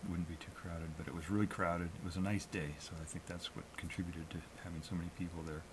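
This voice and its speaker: Low-voiced American man, hesitant livestream chat. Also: fairly even intonation, noisy background. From New England, US. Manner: hesitant, halting, self-critical. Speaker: amateur live streamer. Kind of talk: casual unscripted solo livestream chat.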